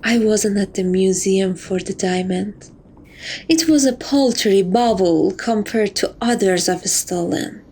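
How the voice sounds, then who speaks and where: A woman playing a seductive, possessive catgirl thief who dotes on her captive, speaking softly and teasingly in a romantic ASMR roleplay. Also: mid-range voice, slow pace, slight background noise. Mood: tender, possessive. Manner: soft, coaxing, teasing, doting.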